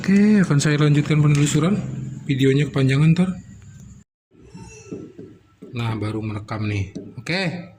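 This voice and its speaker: A man with a slightly high voice talks through a repair in a casual way. He thinks aloud step by step as he works, and some background noise sits under his voice. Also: animated, measured pace, long pauses, warm tone. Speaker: electronics repairer and video host. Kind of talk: casual hands-on repair commentary.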